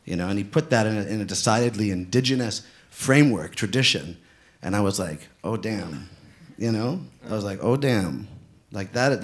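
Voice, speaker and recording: A man speaking on a conference panel in a loose, rambling way, full of fillers. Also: lowish voice. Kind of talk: casual conference discussion. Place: conference room in a museum.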